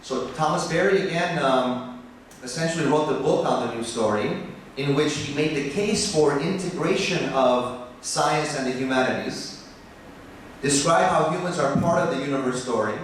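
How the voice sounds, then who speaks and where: An American man, a biology professor, giving a passionate conference talk that turns animated as he makes his points. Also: a little hiss or hum. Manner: passionate, rising in pitch when animated. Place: conference hall. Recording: echoey hall from far off.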